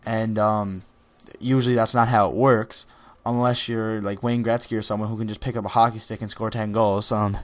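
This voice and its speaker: A man's voice: lowish voice, level tone, normal speed. A teenage boy giving a casual, rambling motivational talk over gameplay footage, with many ums and restarts. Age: teens. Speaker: gaming video creator.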